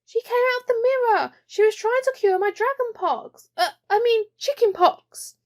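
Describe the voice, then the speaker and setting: A woman voicing a curious, excitable little girl, earnest and full of questions, in a children's storybook. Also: very high voice, moderate pace, voice pushed up. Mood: earnest, flustered.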